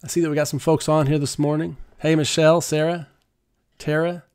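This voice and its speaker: A middle-aged man with a slightly high, gravelly voice leads a prayer livestream from home. He speaks softly and earnestly, pausing often with fillers. He is close on a studio mic in a living room. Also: flat delivery. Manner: soft, earnest, halting with many fillers. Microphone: large studio mic on arm.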